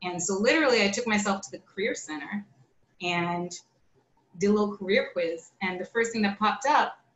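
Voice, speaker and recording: A woman's voice: mid-range voice, animated, normal speed, a bit bright. A woman optometrist talking on a panel over a video call, conversational and often adding "you know". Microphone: video call webcam. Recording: echoey room with faint background hiss.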